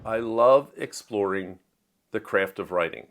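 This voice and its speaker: A man with a slightly low voice chats through the books he has read, keen and explanatory. He speaks in an easy, conversational flow, like a writer sharing favourites with his viewers. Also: measured pace, faint music behind. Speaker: book reviewer and writer. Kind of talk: casual monthly reading wrap-up.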